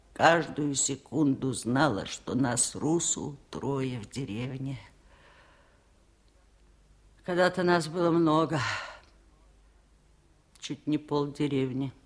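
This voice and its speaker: An actress playing a lonely, wise old village aunt in a 1970s radio play, with plain-spoken, folksy, wry delivery. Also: female voice, very deep voice. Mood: sorrowful. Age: older. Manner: plain-spoken folksy musing, wryly stoic. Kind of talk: 1970s radio drama acting.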